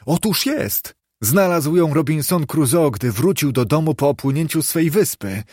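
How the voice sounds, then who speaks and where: A man's voice: medium pitch, expressive, unhurried, darkish tone, dry recording, clean studio sound. A man voicing a sentimental old man who eagerly exclaims over his childhood memories in a storybook reading. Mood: excited, nostalgic. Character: old man Scrooge, excitedly and tearfully reliving childhood memories.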